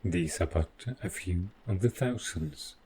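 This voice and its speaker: A deep-voiced male robot butler, acted out by a man for an audio roleplay. He speaks very slowly in formal, clinical phrases, devoted and possessive with a calm menace. Faint noise sits behind the voice. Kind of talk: scripted audio roleplay addressed to the listener.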